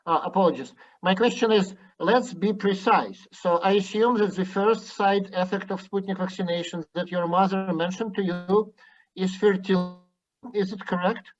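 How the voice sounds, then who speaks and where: A man speaking on a roundtable panel over a video call, deliberate and sardonic, repeating his points to drive them home. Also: sits high. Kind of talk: roundtable discussion. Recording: muffled video call audio.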